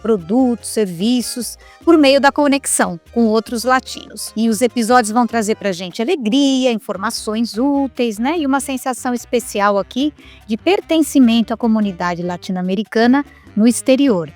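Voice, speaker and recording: Woman, podcast host, higher pitch, upbeat, "né?" tags. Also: normal speed, soft background music. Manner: warm, upbeat hosting with frequent "né?" tags.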